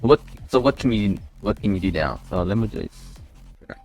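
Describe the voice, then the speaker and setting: A man talking casually on a live stream as a developer, in a halting way, often repeating and restarting his phrases. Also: on the low side, expressive, darkish tone, soft background music. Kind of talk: casual live stream talk about a coding project.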